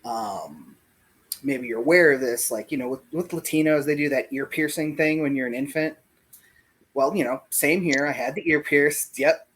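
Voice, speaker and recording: A man in his forties, born in Puerto Rico and raised in the US South, recalls his life in a casual video-call interview, pausing often and thinking aloud. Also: mid-range voice, fairly bright tone, slightly rough. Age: 40s. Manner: slow, reflective, pauses often with "um" and "like". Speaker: interview guest. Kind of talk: casual oral history interview.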